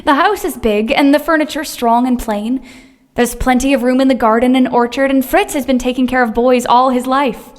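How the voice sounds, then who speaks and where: A woman playing an earnest, warm and stubborn young woman in an audio drama. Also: high voice, fairly even intonation, normal speed, close to an everyday voice. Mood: determined.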